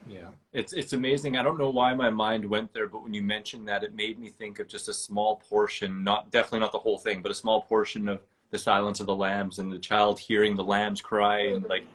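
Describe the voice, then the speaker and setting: A man's voice: lowish voice, flat delivery, fairly quick, hardly any pauses, fairly bright tone, husky. A man hosting an interview, talking in an earnest, rambling and enthusiastic way. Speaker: podcast host. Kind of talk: casual interview chat.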